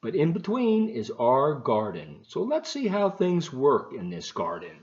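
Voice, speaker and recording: A man talking to children as a volunteer storybook reader, explaining things in an animated, unhurried, folksy way. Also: medium pitch. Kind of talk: talking to children and reading a picture book aloud. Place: at home, partly in a living room. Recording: roomy, slightly muffled home video.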